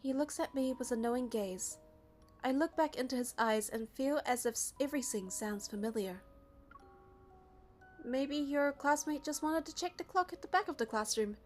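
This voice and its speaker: A woman narrates a game story in the first person, reading it aloud. Her voice is high, and faint music plays behind her. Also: normal speed.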